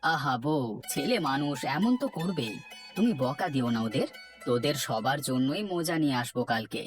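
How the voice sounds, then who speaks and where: Gentle village father played by a man, music behind. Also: medium pitch, animated, normal speed, natural voice. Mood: reassuring. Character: hardworking, devoted village father and dutiful son. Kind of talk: Bangla cartoon voice acting.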